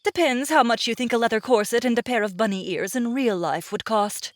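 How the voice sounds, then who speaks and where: A woman voicing a snide, bossy, sharp-tongued female healer in a sarcastic, brash manner for an audiobook. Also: fairly high pitch, fairly quick, no room sound, studio quality, lightly acted. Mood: snide, teasing. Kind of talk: audiobook character acting.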